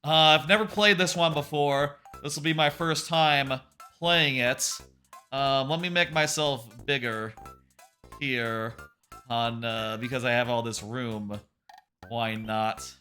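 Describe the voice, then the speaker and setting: A man livestreaming a game, talking casually in a loud voice that rambles and corrects itself, sitting about an arm's length from his microphone. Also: mid-range voice, steady tone, takes it slowly, faint music behind. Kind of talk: casual live gameplay chat.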